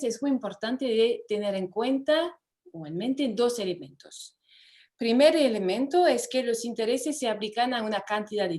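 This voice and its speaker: French-accented woman, law professor, online lecture, measured with frequent pauses. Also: a little high, darkish tone. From France. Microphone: computer mic over video call.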